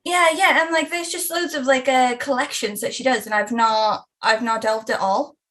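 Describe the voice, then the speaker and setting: A woman's voice: sits high, fairly even intonation, moderate pace, fairly bright tone. A young woman in casual book chat, gushing and peppering her speech with "like". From Wales. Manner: gushing, lots of "like" fillers. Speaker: booktuber co-host.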